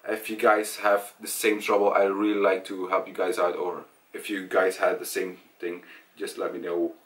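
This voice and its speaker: Young guy vlogging in his room, deep monotone voice, kinda halting, bit far from the mic. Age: young adult. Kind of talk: casual personal storytelling to camera. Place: small indoor room at home. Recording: voice distant from the mic.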